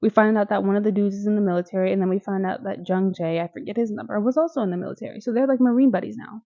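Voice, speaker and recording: A young woman with a Midwestern American accent talks through a show in a loose, chatty way, full of asides to the viewer. Her voice is mellow and soft-edged. The sound is dry and slightly dull. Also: mid-range voice, no echo. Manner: chatty and animated, with laughs and quick asides to viewers. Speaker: video reviewer. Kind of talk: casual chat recapping a TV series.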